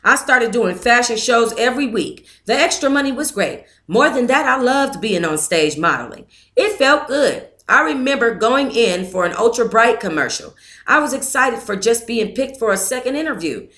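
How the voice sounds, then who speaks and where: Bright-voiced woman reading a memoir aloud. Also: fairly high pitch, lively intonation, moderate pace. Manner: reads in first person, breaks off to thank donors and comment. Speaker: book club host reading aloud.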